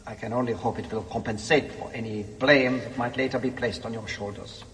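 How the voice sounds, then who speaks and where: A man plays an urbane, arrogant German military prisoner in a radio drama. He is formal and condescending, in a medium-pitched voice. Long reverb rings around him like a bare cell. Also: fairly even intonation, fairly quick, fairly bright tone, a little hiss or hum, close to an everyday voice. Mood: smug, commanding.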